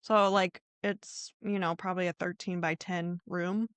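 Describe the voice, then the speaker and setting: A woman's voice: on the low side, little pitch movement, unhurried, clean tone, no echo. A woman hosting a podcast, telling true crime stories in an informal, conversational way with a hedging manner. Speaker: podcast host.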